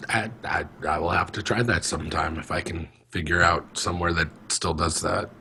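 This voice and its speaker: A man co-hosting a podcast with a deep, calm voice, chatting casually. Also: moderate pace, vocal fry, a little hiss or hum. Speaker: podcast co-host. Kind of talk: casual chat.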